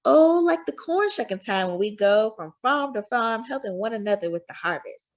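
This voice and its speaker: A woman voicing a loving, hopeful mother who talks gently and expressively to her young son in a storybook. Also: fairly high pitch, lively intonation, moderate pace. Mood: fond, animated. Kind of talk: storybook character voice.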